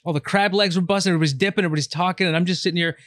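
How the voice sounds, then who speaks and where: A man's voice: high-pitched, fairly even intonation, racing, a bit bright, dry recording. A man telling a laid-back comedy story in casual podcast chat, on a studio mic. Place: studio with leather couch. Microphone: studio mic on boom arm.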